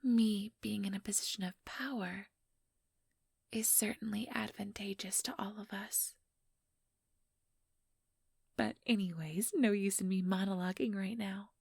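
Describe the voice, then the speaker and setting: A woman voicing an ambitious, teasing vampire in an ASMR roleplay, breathy and whispery, with a sugary, mocking condescension. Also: medium pitch, steady tone, a little slow, pauses often, a little mellow, no echo, clean studio sound. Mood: smug, scheming. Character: ambitious, teasing female vampire, smugly scheming.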